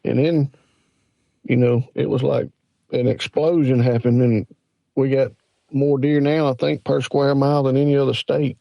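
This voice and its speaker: A man's voice: mid-range voice, little pitch movement, normal speed, creaky, dry recording, lo-fi sound. A man in his forties with a Southern US accent, a guest telling rambling, folksy stories in a casual interview. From Mississippi, USA. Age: 40s. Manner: rambling, folksy, with lots of fillers. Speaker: guest hunter.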